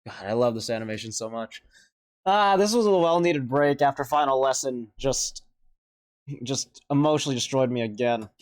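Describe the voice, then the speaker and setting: A man's voice: mid-range voice, moderate pace, dry recording. A man hosts a reaction video in a loose, conversational way. He rambles and hesitates, working out his thoughts as he goes. The sound is dry, with hardly any room in it. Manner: rambling, hesitant, thinking aloud. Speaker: reaction video host, vtuber. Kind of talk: casual off-the-cuff reaction commentary.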